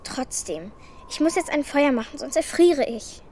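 High-voiced, stubborn orphan girl. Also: expressive, moderate pace, darkish tone, a little hiss or hum. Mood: defiant, blunt. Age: child figure. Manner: blunt, defiant, bossy. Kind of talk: children's radio play acting.

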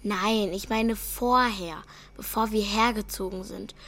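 A young schoolgirl in a radio play. She is earnest and questioning, a little hesitant, at a medium pitch. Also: steady tone, unhurried, fairly bright tone. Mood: curious.

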